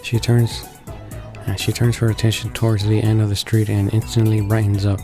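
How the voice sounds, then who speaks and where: Male let's play narrator, deep-ish and even in intonation, halting read-aloud delivery of game text. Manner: stumbles and rereads words while reading. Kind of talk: reading a visual novel aloud. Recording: voice-over with game music behind.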